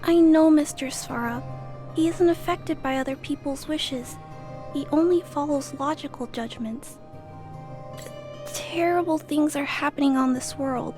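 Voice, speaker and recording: Game role: gentle small girl, high-pitched, hesitant, courteous, quietly firm. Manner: soft-spoken, polite, hesitant. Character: gentle, timid but stubborn little girl. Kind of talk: video game voice acting.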